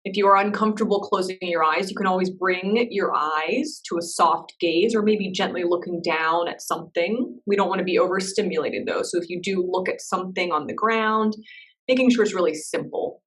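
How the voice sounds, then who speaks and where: A woman leading a guided meditation in a soft, slow, reassuring way, with gentle pauses between phrases. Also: on the low side, distant mic, low-quality recording.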